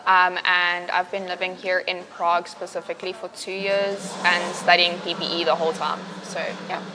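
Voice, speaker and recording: A young woman from South Africa with a South African accent, a university student answering interview questions in a relaxed, chatty way with many fillers. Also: lowish voice, moderate pace, background noise. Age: young adult.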